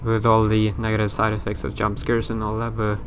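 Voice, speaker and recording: A man's voice: on the low side, completely flat delivery, fairly quick, a little hiss or hum. A man from Sweden with a Swedish accent, rambling and joking his way through a horror game let's play. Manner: rambling, self-mocking, swears casually. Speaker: gaming YouTuber.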